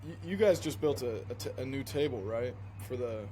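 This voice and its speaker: A man's voice: medium pitch, lively intonation, fairly quick, warm tone. A man hosting a podcast, laid-back and casual, with lots of fillers and casual swearing. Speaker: podcast host. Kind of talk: casual interview chat. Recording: noisy background.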